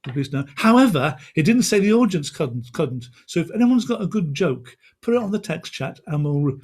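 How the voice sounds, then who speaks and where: A man with a fairly high-pitched voice hosts an online event. He talks informally and off the cuff, in a loose, chatty way over a video call. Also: big pitch swings, moderate pace, darkish tone. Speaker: co-host, magazine editor and co-founder. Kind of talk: casual unscripted event hosting.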